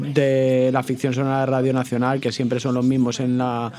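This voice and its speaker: Spanish guy from spain doing casual radio chat, soft dark tone, keeps searching for words. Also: mid-range voice, completely flat delivery. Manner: halting, repeats words while searching. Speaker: radio show host. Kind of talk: casual live radio discussion.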